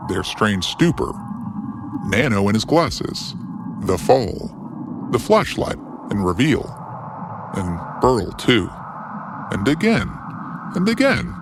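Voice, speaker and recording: A man narrating a scripted fantasy story in a theatrical, whimsical way with deliberate pauses. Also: lowish voice, noisy background.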